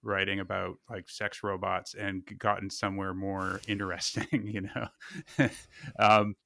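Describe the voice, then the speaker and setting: A man asking casual interview questions as a podcast host, haltingly searching for words. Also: low-pitched, flat delivery, vocal fry, bright, forceful tone, dry recording.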